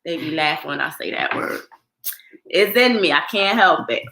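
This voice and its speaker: A woman's voice: low voice, animated, normal speed, vocal fry, bright, forceful tone, a little hiss or hum. A woman with a New York accent hosting a casual morning livestream chat, drawing out upbeat greetings. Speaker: host.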